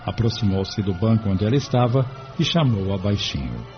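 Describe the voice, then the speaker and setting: A man's voice: fairly low pitch, very animated, normal speed, soft background music. A man with a Brazilian accent reading scripted story narration as the narrator of an audio drama. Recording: dull lo-fi audio.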